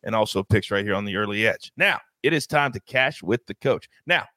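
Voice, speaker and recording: A man hosting a sports betting show, hyped and playful, with big swings in pitch. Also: expressive, fairly quick, sharp tone, dry recording. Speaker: host.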